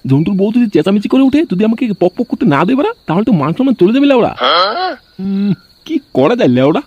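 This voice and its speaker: A man's voice: sits high, big pitch swings, fast, highs cut off. A man voicing a sly, lecherous, boastful schemer in a crude comedy cartoon, talking in a conspiratorial way. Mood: lustful, scheming. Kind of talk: amateur comedy cartoon dub. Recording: faint noise behind the voice.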